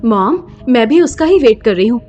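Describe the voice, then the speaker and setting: A fairly high-pitched actress as a young businesswoman in an audio drama, anxious and conscious of her standing. Her delivery is dramatic and expressive, over faint music. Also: moderate pace, highs cut off, close to an everyday voice. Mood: worried. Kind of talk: Hindi audio drama acting. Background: background music.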